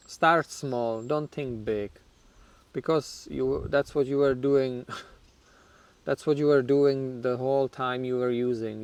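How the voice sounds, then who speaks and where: Smooth-voiced man with a Czech accent. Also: mid-range voice. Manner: reflective and frank, with slight grammar slips and soft laughs. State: somewhat nervous on camera. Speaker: channel host. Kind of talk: candid talk to camera about recovery.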